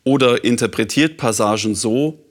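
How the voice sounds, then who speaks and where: A man presenting and reading a report aloud in a measured, earnest scripted style. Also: mid-range voice. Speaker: radio presenter.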